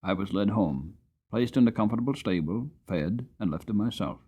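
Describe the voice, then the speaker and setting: A man giving a dramatic storybook narration as an audiobook reader, expressive and deliberate. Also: fairly low pitch, husky.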